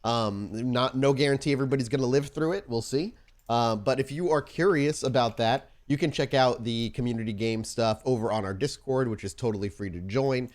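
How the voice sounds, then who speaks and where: A man hosting and narrating a tabletop roleplaying podcast as storyteller, informal in chat and deliberate when setting scenes. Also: medium pitch. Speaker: podcast host and game storyteller.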